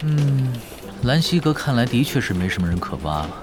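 A man's voice: mid-range voice, sing-song, a little fast, over music, lightly acted. A man playing a composed, matter-of-fact gamer who leads and plans for his team in an audio drama. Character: composed, planning male gamer team leader. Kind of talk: Chinese audio drama acting.

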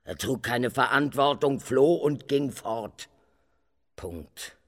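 In a long, reverberant hall, a scornful male accuser in a radio drama. His delivery is slow and emphatic, swinging widely in pitch with open contempt. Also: expressive. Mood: scornful, bitter. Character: scornful male accuser denouncing a traitor queen.

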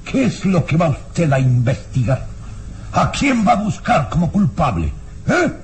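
An actor playing an arrogant, irascible baron in a vintage radio drama, with a theatrical, sinister, scornful delivery. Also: male voice, on the high side, big pitch swings, a little slow. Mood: ominous, menacing. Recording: noisy old radio drama recording.

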